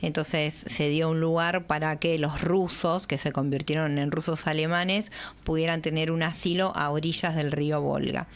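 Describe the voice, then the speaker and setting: Smooth low-voiced Argentine woman. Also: fairly even intonation, moderate pace. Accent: Argentine Spanish. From Entre Ríos, Argentina. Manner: warm storytelling, fillers and restarts. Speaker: guest, local councilwoman. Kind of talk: casual radio interview.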